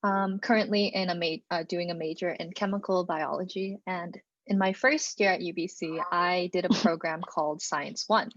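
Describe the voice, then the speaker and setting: A woman's voice: fairly low pitch, fairly even intonation, normal speed, hardly any pauses, dry recording, muffled recording. A young woman from Alberta, Canada, giving a student presentation on a video call in a casual way, with lots of "um" and "like". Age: young adult. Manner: casual, many fillers and "like". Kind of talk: online presentation talk. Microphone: computer mic on a video call.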